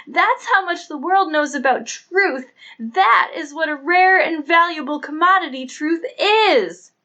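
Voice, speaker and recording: A man's voice: extremely high pitch, unhurried, sharp tone, highs cut off, voice pushed up, heavily acted. A man voicing a brash, stubborn young woman who argues emphatically in a colloquial way, in an audiobook. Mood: adamant.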